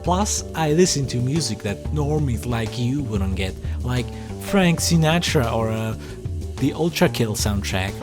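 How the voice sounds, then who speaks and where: Animated man narrating a sarcastic comedic video. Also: medium pitch, unhurried, music in the background. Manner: sarcastic, self-deprecating, theatrical. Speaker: YouTube creator and host.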